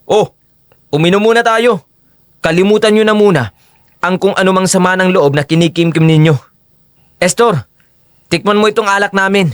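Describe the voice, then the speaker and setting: A man's voice: high voice, moderate pace, pauses often, smooth, no echo, lightly acted. A man acting the young brothers and friend of a Filipino audio drama with emphatic, theatrical delivery. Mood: firm, bossy.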